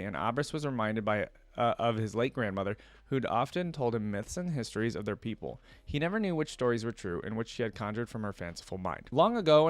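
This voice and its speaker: A man's voice: mid-range voice, no room sound. A man hosting a reaction video, reading a story aloud and commenting casually in slangy asides.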